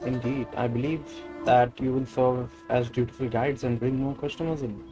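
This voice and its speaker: A man's voice: medium pitch, fairly even intonation, normal speed, close to an everyday voice, rough recording. A man voicing a polite, gracious woman florist from a video game, formal and explanatory. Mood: courteous, inviting. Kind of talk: reading video game dialogue aloud. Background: game music.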